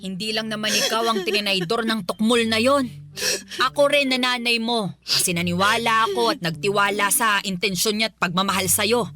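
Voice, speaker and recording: A feisty, bright-voiced protective mother. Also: fairly high pitch, normal speed. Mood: indignant. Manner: scolding yet consoling, blunt. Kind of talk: Filipino radio drama acting.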